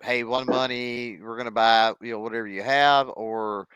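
A man's voice: medium pitch, fairly even intonation, moderate pace, a bit bright, smooth, no echo, dull audio. A man hosting a casual interview podcast, asking rambling questions in several parts.

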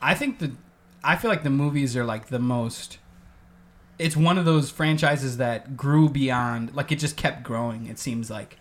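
A man's voice: mid-range voice, a little slow, a bit bright. A young man hosting a podcast in casual chat, rambling and laughing often. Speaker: podcast host.

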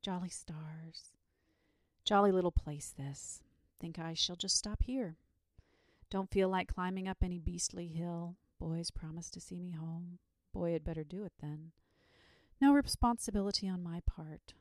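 A woman's voice: fairly low pitch, normal speed, dry recording, natural voice. A woman voicing a sleepy, self-satisfied male dragon in a storybook, jovial and bumbling, with clipped, murmured or blustering speech. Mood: drowsy murmuring. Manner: drowsy clipped murmuring, blustering when roused. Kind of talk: storybook character voice.

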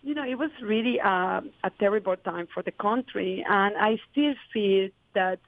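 A woman's voice: mid-range voice, normal speed, no room sound. Woman winemaker, earnest guest, dry thin phone-like audio. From Colombia. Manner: earnest, flowing explanations with many fillers. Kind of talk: interview answers.

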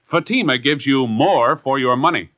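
A man's voice: mid-range voice, animated, moderate pace, fairly bright tone, dull audio. A man with an American accent, announcing formally and emphatically in the style of 1950s radio. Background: brass music.

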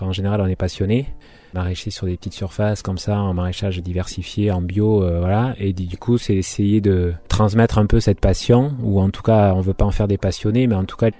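A man from Béarn, a market gardener who supervises farm workers, speaking informally in an interview and often pausing to think. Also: deep voice, warm tone. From Béarn, France. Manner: informal, thinks aloud with frequent hesitations. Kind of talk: relaxed interview talk.

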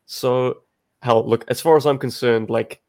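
A young man with a South African accent hosts a podcast. His mid-pitched voice carries a lot of gravelly fry. He talks in a chatty, self-deprecating way. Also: fairly even intonation, measured pace, a little mellow. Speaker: podcast host. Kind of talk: casual podcast chat.

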